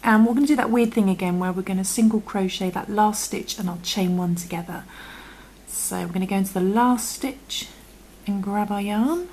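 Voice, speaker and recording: A woman with a croaky voice, patiently talking through a crochet tutorial and often dropping to a half-whisper as she works. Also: medium pitch, moderate pace, a little mellow, slight background noise, poor audio. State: croaky from illness. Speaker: crochet instructor. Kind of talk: step-by-step tutorial.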